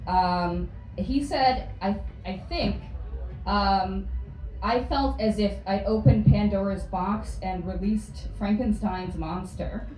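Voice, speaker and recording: A young woman from Florida doing a hesitant, deadpan stand-up set, full of ums and likes. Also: mid-range voice, slow pace. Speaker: stand-up comedian. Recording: distant, echoey club with noise.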